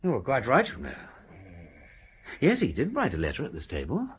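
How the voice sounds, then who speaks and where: An actor playing a flippant aristocratic amateur detective in a radio drama, with airy, clipped upper-class English speech. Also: male voice, medium pitch, huge pitch swings, moderate pace, a little hiss or hum. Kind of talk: British radio drama acting.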